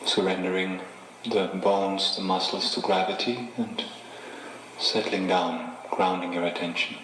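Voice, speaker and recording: Deep male meditation guide, unhurried and gentle, lots of room echo, bit of noise behind him. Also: flat delivery. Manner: unhurried, gently instructing with pauses. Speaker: meditation teacher. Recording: echoey reverberant room with faint noise.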